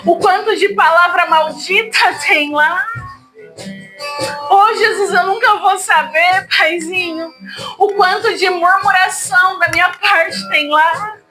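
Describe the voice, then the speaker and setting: A woman prays aloud in a very high voice, slow and tearful, pleading with fervent emotion. Guitar music plays clearly behind her. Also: poor audio. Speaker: prayer leader. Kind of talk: emotional spoken prayer over guitar. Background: soft guitar music.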